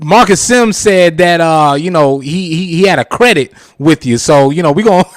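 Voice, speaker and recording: A man with a slightly high voice and very lively intonation hosts a live radio talk show. His delivery is casual and slangy, and the sound is close and dry. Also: a little slow, dry recording. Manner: informal, slangy, chuckles while talking.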